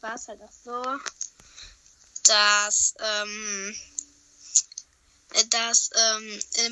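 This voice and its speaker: Hoarse young woman on a phone call. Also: on the high side, sharp tone. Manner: hesitant, many fillers. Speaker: podcast guest. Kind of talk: casual chat.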